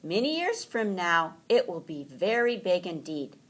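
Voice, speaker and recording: A woman voicing a kindly adult male heron in a children's story, gentle and reassuring. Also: on the low side, big pitch swings, unhurried.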